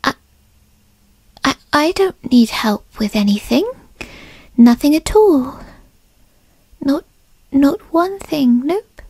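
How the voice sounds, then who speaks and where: A woman playing a young, bratty but timid female fox spirit in an ASMR roleplay, breathy and stammering. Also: high voice, expressive, a little mellow, no room sound, exaggerated voice. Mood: defensive, haughty. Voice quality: breathy, often whispery. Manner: halting, stammering, skittish. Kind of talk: ASMR roleplay, scripted character acting.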